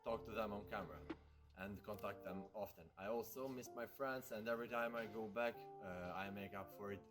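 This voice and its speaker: A man from Albania, whose first language is Albanian, answers interview questions in a slightly low voice. He hesitates often and fills pauses with "uh". Music plays clearly behind him. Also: fairly even intonation. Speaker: interviewee, IT software worker. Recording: music playing loudly behind.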